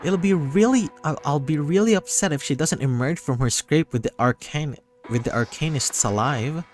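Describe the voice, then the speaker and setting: Man putting on a higher-pitched gushing young fangirl. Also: moderate pace, natural voice. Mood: excited. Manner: gushing, emphatic, affectionate. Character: gushing, excitable young female superfan, teasing and affectionate. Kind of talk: visual novel character acting. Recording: music behind the voice.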